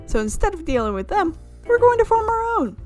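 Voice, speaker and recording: A woman voicing a bubbly, brash schoolgirl from a visual novel in a loud, sing-song, exuberant manner. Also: extremely high pitch, very animated, fairly quick, faint music behind, pitched above the performer's own voice. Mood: cheerful, confident.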